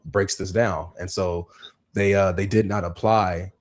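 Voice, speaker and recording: A man's voice: low voice, fairly even intonation, a little slow. A man hosting an informal religious livestream discussion, speaking emphatically and repeating phrases for emphasis. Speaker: livestream host.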